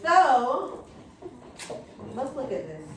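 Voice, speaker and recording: A woman's voice: sits high, sing-song, drawn-out words. A woman teaching young children in a classroom, directing them and prompting with questions during a read-aloud. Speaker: elementary school teacher. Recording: roomy, echoing, noisy classroom, distant mic.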